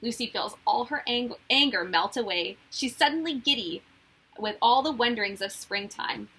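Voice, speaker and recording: A woman reading a children's storybook aloud as a teacher would, with expressive narration. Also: a little high, normal speed, slightly rough, low-quality recording.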